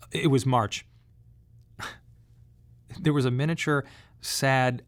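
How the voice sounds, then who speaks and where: A man delivering scripted dramatic narration in a radio monodrama, reflective and measured, with emphatic pauses. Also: mid-range voice. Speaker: playwright performing his autobiographical monologue.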